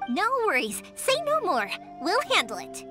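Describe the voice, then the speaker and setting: A cheerful young fireworks maker, chatters in a very high, bubbly voice that leaps up and down. She sounds energetic and reassuring. Game music plays clearly underneath. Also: normal speed. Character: cheerful young fireworks-shop woman, lively. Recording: game dialogue over music.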